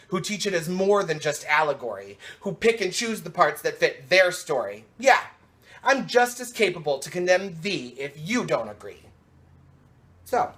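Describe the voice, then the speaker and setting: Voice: medium pitch, fairly bright tone. A slam poet performing rhymed spoken word with an emphatic, dramatic and rhythmic delivery.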